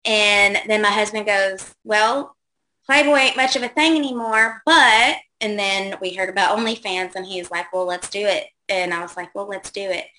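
A woman's voice: mid-range voice, moderate pace, sharp tone, poor audio. A woman in her thirties, a guest on a casual call-in show, chatting loosely with lots of ums and likes. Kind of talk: casual interview answers.

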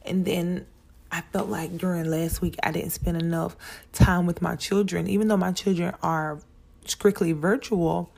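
Gravelly-voiced woman podcast host. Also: lowish voice, fairly even intonation, a little slow, a little mellow. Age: 30s. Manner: chatty and rambling, talks straight to fellow moms. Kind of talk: casual solo podcast talk.

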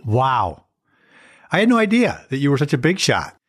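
Male co-host, middle-aged American, average pitch, needling and dryly sarcastic in easygoing conversational banter. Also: huge pitch swings, normal speed, slightly rough. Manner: teasing, dry sarcasm. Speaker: podcast co-host. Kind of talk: bantering casual chat.

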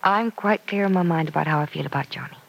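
An actress playing an earnest, self-doubting young woman in an old-time radio serial, confiding in a hesitant, soft-hearted way. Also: low-pitched, quick pace, gravelly, low-quality recording. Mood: earnest, tender. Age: young adult.

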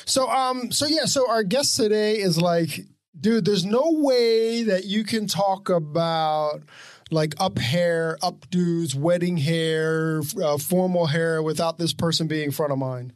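Male podcast host with a high voice and a dry close recording, chatty and loose, laughs often. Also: slow pace, no echo. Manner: laughing, rambling with many restarts. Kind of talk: casual chat.

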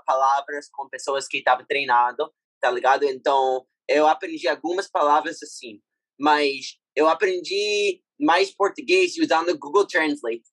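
Young man speaking learned Portuguese haltingly. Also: on the high side, a bit bright, no echo, low-quality recording. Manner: halting, searching for words in a learned language. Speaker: guest athlete. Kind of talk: casual interview chat.

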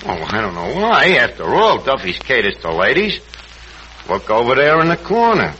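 A man's voice: mid-range voice, huge pitch swings, moderate pace, pushed and bright. An actor playing a brash, wisecracking tavern man with a New York accent who mangles fancy words, in 1940s radio comedy style. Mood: flattering, smooth-talking. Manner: streetwise slang and malapropisms. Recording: noisy, dull 1940s radio recording.

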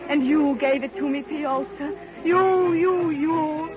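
An actress playing a lonely, lovestruck older Russian widow in an old radio drama, theatrical and yearning, with halting repetitions. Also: female voice, sits high. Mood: exultant. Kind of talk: classic radio drama monologue. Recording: muffled old radio recording, music behind.

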